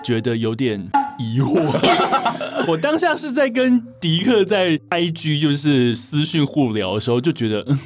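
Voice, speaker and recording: A man's voice: on the high side, sing-song, measured pace, music in the background. A man with a Taiwanese accent chatting casually as an interview guest. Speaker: interview guest, travel writer. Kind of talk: casual chat.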